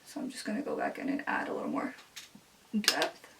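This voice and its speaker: A woman's voice: very deep voice, huge pitch swings, fairly quick, vocal fry, far from the mic, noisy background. A woman in her twenties, a native Spanish speaker, chatting casually while doing her makeup, with many "like" fillers. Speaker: beauty vlogger.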